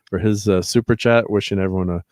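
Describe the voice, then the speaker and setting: Low-voiced man hosting a chatty livestream. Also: fairly even intonation, fast, darkish tone, no echo, studio quality. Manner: rambling, reading out chat names, laughing often. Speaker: host. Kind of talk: casual livestream chat.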